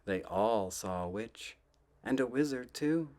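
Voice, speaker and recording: Slow, soft-spoken man reading bedtime stories. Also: mid-range voice, expressive. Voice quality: soft, often dropping to a whisper. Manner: gentle, drawn-out, playful storybook delivery. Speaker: ASMR channel host reading children's books aloud.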